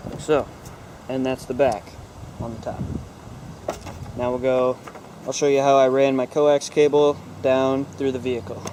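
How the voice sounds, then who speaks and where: Casting: young American man, medium pitch, informal offhand how-to host, camera audio with noticeable outdoor and vehicle noise. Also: flat delivery, measured pace, long pauses. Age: young adult. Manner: informal, offhand, loosely phrased. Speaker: host of a how-to car video. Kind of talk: casual hands-on tutorial. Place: outdoors in a driveway and inside a parked car.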